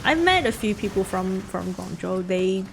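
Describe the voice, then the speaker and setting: A woman with a Mandarin accent and Mandarin as her first language, chatting casually and haltingly with her chat while streaming a game. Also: mid-range voice, animated, creaky, noisy background. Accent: Mandarin-accented English. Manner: halting, chatty, answers chat with many restarts. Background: game music.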